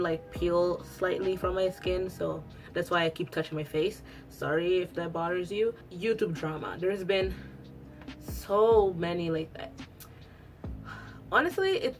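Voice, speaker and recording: A young woman whose first language is Italian, chatting casually to camera at home, rambling with lots of restarts. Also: lowish voice. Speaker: beauty vlogger. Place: at home after a shower.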